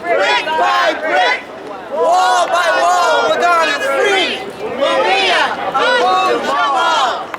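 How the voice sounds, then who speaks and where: Rally emcee, male, very high voice, unhurried, rousing, heard off-mic over loud crowd and city noise. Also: steady tone, far from the mic. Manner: loose, rousing, leads call-and-response chants. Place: outdoor urban park rally.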